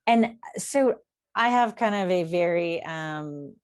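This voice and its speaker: Deep-voiced woman host, dry sound. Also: animated, smooth, no echo. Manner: searching, halting questions. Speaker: interview host. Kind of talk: casual interview.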